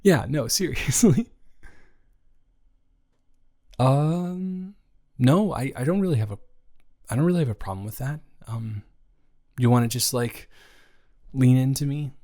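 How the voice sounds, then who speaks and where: A young man playing a gentle, awkward roommate with a crush in an improvised roleplay audio, hesitant and reassuring as he talks. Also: medium pitch, normal speed, long pauses, natural voice.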